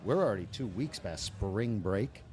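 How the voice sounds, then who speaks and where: A man's voice: on the low side, lively intonation, moderate pace, a little mellow. A man from New York hosting a casual podcast interview in a restaurant, chatty and joking with his guest. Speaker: podcast host.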